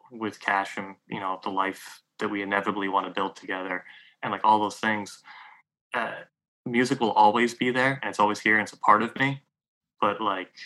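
Guy in his late 30s, kinda low voice but bright and forceful, rambly podcast guest. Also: rough recording. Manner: reflective, rambling with many fillers. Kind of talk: casual conversation.

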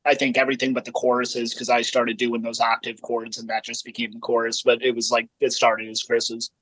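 A man's voice: mid-range voice, completely flat delivery, fairly quick, a bit bright, slightly rough, dry recording, poor audio. A middle-aged man from Pennsylvania, a band member, chatting in a wry, joking way. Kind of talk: casual interview chat.

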